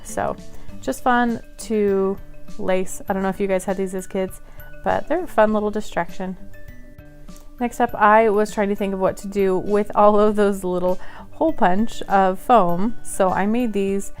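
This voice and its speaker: A woman's voice: medium pitch, fairly even intonation, a little slow, faint music behind. A woman hosting a casual craft tutorial, talking in a chatty, friendly way and laughing at herself now and then. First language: English; Polish is her second language. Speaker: craft channel host.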